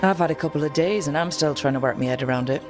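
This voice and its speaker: An actress playing a dry, determined adventurer and leader, speaking directly in a fantasy video game. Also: female voice, low voice, very fast, music in the background, lightly acted. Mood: serious. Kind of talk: cinematic video game dialogue.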